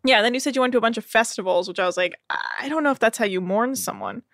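A woman's voice: fairly high pitch, quick pace, fairly bright tone, slight background noise. A woman co-hosting a casual podcast, recapping a reality show with sarcastic, dry asides. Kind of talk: casual chat.

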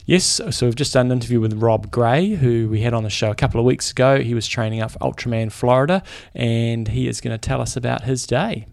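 A man's voice: mid-range voice, brisk, vocal fry, few pauses, darkish tone, no room sound. A man with a New Zealand accent, a triathlon coach co-hosting a podcast, easygoing and rambling in casual chat. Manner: easygoing, rambling, restarts his sentences.

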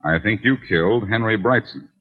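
Deep, husky, cocky radio detective. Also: male voice. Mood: cool, accusing. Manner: wry, taunting, unhurried. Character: smooth, cocky amateur detective, bantering and self-assured. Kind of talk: 1940s radio detective acting. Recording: dull, lo-fi 1940s radio recording.

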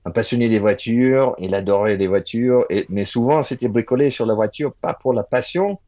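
A man from Ireland, an English speaker talking as a podcast guest in relaxed, chatty French. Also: mid-range voice, moderate pace, dull audio. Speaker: guest, automotive engineer. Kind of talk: podcast interview.

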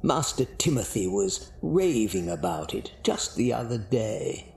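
An actor playing a dry, fussy, long-suffering older butler in an audio drama, formal and sardonic. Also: male voice, medium pitch, sing-song, measured pace, vocal fry, darkish tone. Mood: dry, disdainful. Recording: roomy echo, faint background noise.

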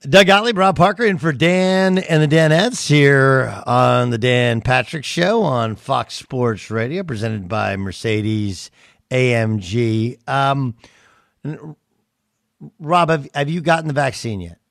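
A man's voice: mid-range voice, takes it slowly, gravelly, no room sound, studio quality. A man hosting sports talk radio in a casual, bantering style.

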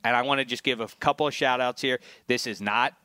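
A man's voice: mid-range voice, quick pace, pushed and bright, clear, resonant tone, no echo, clean studio sound. A man co-hosting a podcast, chatting casually with a jokey, mock-grandiose manner. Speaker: podcast co-host. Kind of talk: casual chat.

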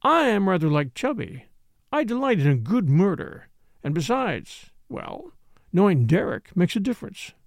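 A man voicing a languid, blunt young society woman who speaks in a slow drawl, in an audiobook. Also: sits high, very animated, vocal fry, no room sound, studio quality, heavily acted. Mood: probing.

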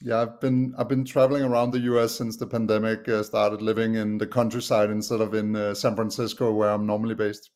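A middle-aged man from Denmark with a Danish accent, relaxed and chatty as a podcast guest. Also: on the low side, brisk, few pauses. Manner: relaxed, frequent restarts and fillers. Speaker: podcast guest, SaaS co-founder. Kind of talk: casual interview chat.

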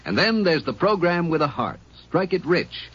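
A man's voice: a little high, moderate pace, breathy edge. A man announcing programs for a radio network in a 1950s broadcast. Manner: lively promotional delivery. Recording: muffled old radio broadcast.

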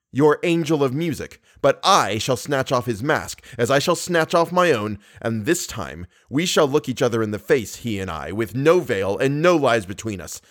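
A man playing a jealous, hot-headed young gentleman in an audiobook, declaiming with theatrical passion and bitter reproach. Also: medium pitch, close to an everyday voice. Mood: furious. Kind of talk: audiobook character voice.

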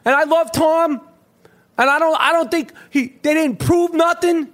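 A man's voice: very high voice, moderate pace, voice pushed up. A man putting on a brash, cursing Boston sports fan with a Boston accent. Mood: boastful. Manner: crude, shouting, cursing.

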